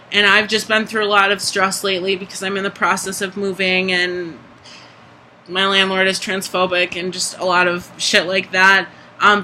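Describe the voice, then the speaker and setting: A young adult vlogger with a high speaking voice, giving a candid, chatty personal update full of "like" and "um". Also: bright, forceful tone, slight background noise, low-quality recording. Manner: candid, chatty, frequent "like" and "um" fillers. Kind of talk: casual personal vlog update.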